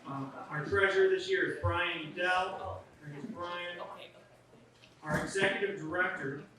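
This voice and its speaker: A man's voice: sits high, fairly even intonation, a little slow. A man who is the president of an association hosts an in-person meeting and introduces the board, talking loosely with plenty of fillers. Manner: casual, loose, with many fillers. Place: meeting venue room. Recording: very echoey room, distant mic, crowd behind.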